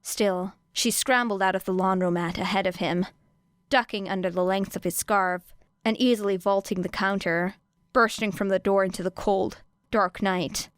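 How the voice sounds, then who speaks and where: A woman's voice: mid-range voice, fairly even intonation, normal speed, clean tone, dry recording, clean studio sound. A woman reading a scripted story as an audiobook narrator.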